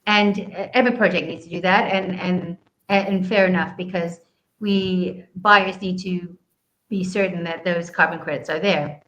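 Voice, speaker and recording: A woman's voice: lowish voice, fairly even intonation, normal speed, fairly bright tone, highs cut off. A woman from Southeast Asia, a guest answering interview questions in an earnest way, explaining at length with frequent ums.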